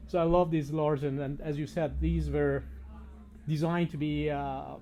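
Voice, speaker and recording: Guy doing a casual show-floor interview, soft dark voice on the higher side, agreeable. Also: flat delivery, a little slow. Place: demo room at an audio show. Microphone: binaural microphone.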